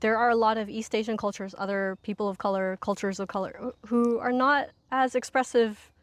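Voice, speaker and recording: A woman's voice: a little high, fairly even intonation, brisk, no room sound. A woman speaking as an interview guest and instructor, explaining ideas conversationally and thinking aloud in long sentences.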